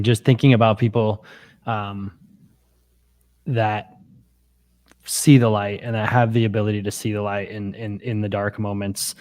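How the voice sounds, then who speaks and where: A man hosting an interview, asking questions gently and hesitantly, often repeating words. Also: on the low side. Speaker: interview host. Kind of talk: interview conversation.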